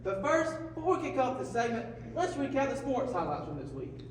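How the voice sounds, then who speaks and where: Male actor, folksy jovial co-host, extremely high pitch, distant echoey recording. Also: huge pitch swings, a little fast, heavily acted. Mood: hearty greeting. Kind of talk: comedic radio talk show skit. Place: live performance in a large hall. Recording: very echoey hall, distant mic, faint noise.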